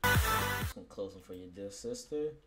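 A man's voice: lowish voice, animated, fairly quick, loud music behind the voice, close to an everyday voice. A man playing a cocky, swearing werewolf in a casual, improvised game roleplay. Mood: cocky, dismissive. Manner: brash, repetitive, swearing. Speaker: roleplay player and lead actor. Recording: noisy home game mic.

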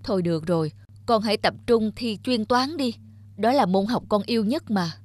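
A man playing a stern, proud middle-aged police officer father in an animated drama, with an emphatic, lecturing manner. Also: high voice, moderate pace, no echo, close to an everyday voice. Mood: gentle. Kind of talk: animated moral drama acting.

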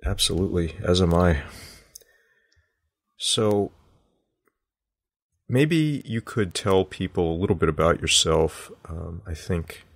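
A male podcast host reading sponsor spots and a guest introduction in a measured way, then chatting in a relaxed, hesitant manner. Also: low voice, no echo, clean studio sound.

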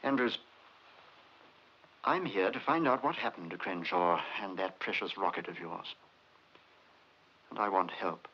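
A man's voice: sits low, unhurried, pauses often, husky, full character voice. An actor playing an urbane, sly British male investigator who questions politely but insistently in 1950s film style. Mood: earnest, pressing. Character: probing, sly, urbane male investigator posing as chatty acquaintance. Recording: dull, muffled 1950s film soundtrack.

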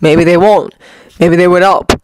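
Woman voicing a quiet, troubled male hero. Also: fairly low pitch, steady tone, normal speed, close to an everyday voice, low-quality recording. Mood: pleading. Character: uneasy, conscience-driven male hero.

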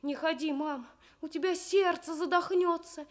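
A woman voicing an excitable, evasive schoolboy who blurts out stubborn excuses in a children's radio story. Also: very high voice, normal speed, pitched above the performer's own voice. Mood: pleading, insistent. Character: schoolboy Kostik, evasive, pleading, excitable little boy.

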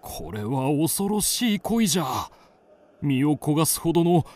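An actor playing an excitable, gossipy old-time kabuki player in a radio drama, with theatrical archaic speech. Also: male voice, a little high, unhurried, no room sound. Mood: awed. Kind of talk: period Japanese radio drama acting.

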